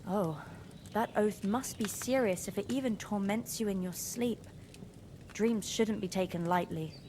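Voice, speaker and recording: A woman's voice: mid-range voice, measured pace, a little hiss or hum, close to an everyday voice. An actress playing a kind, practical young village woman in a video game, with folksy, down-to-earth speech. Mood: caring. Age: young adult. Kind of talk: video game cutscene dialogue.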